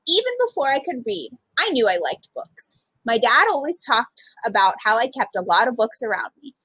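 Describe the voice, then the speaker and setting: Woman, high lively voice, slightly husky, storytime read-aloud, expressive, dry close sound. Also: normal speed, dry recording, rough recording. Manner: animated, acts out dialogue. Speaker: storyteller reading a picture book aloud.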